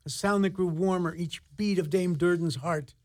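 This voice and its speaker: A man's voice: sits high, steady tone, normal speed, a little mellow, a little hiss or hum, natural voice. A man narrating a fairy-tale radio play in an ornate, dramatic, theatrical style. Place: radio studio.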